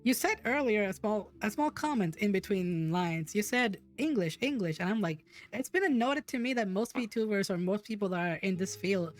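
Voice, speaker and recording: A woman's voice: mid-range voice, expressive, normal speed, few pauses, faint music behind. A woman whose first language is Spanish, hosting a casual streamed chat, rambling with lots of restarts and frequent giggles. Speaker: podcast host.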